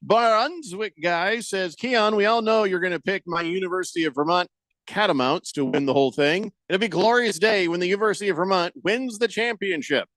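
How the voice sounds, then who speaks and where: A man's voice: high-pitched, fairly quick, a bit bright, no room sound. A man hosting a sports radio show, joking around and reading out listener comments in a playful way. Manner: jokey, reads listener comments aloud in playful voices. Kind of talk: casual sports radio chat.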